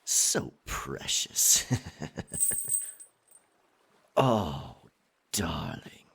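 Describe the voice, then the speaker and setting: A man playing a possessive, roguish pirate captain in an ASMR roleplay, theatrical, teasing and dominant, with a husky, breathy voice. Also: a bass voice, very animated, extremely slow, a bit bright, exaggerated voice. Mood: doting, teasing.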